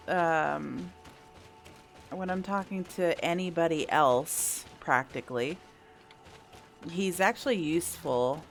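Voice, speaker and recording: A woman giving casual, wry commentary as she plays a video game, thinking aloud. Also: sits low. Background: faint game music.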